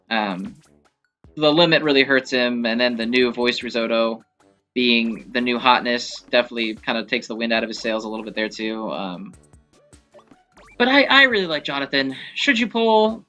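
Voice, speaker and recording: A man's voice: medium pitch, lively intonation, normal speed, bright, forceful tone. A man giving casual, rambling game commentary as a video creator, talking like an enthusiastic fan with some mild swearing. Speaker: gaming video creator and host. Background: video game music.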